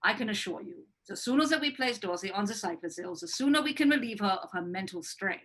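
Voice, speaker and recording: A woman's voice: medium pitch, expressive, fast, a bit bright. A woman playing a smug, clinical psychiatrist. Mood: cold. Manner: condescending, clinical, hesitant fillers. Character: smug, cold female hospital psychiatrist. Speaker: actor in a livestream script reading.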